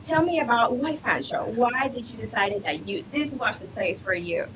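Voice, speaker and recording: A woman's voice: on the high side, normal speed, a little hiss or hum, low-quality recording. A woman hosting a live student panel, upbeat and encouraging, sometimes restarting her sentences. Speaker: livestream host.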